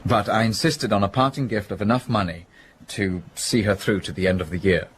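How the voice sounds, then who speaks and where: An actor playing a contrite, upper-class businessman in a period radio drama, speaking with polite, halting restraint. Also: lowish voice, fairly even intonation, a little hiss or hum, natural voice. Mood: remorseful.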